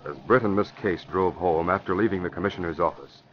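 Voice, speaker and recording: A man's voice: deep voice, fairly quick, slight background noise. An American man working as announcer and narrator in a polished, emphatic 1940s radio style. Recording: dull vintage radio recording.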